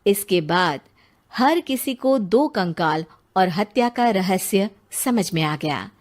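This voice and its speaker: A woman storyteller delivers scripted narration in a mid-pitched voice. She reads the tale out as its narrator, moving from scene to scene. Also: expressive, slow pace.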